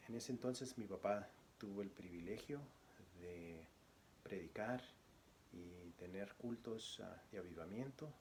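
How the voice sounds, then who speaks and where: A man from Guatemala, a church deacon, introducing himself as a guest preacher and reading scripture, speaking softly with many pauses. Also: low voice, slight background noise. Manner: soft-spoken, frequent pauses and fillers; louder, declamatory when reading scripture.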